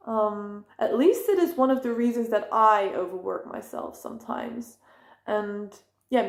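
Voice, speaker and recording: Rambling woman vlogging, echoey room. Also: fairly high pitch. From Netherlands. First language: Dutch. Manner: rambling, self-correcting, many fillers. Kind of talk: casual personal talk to camera.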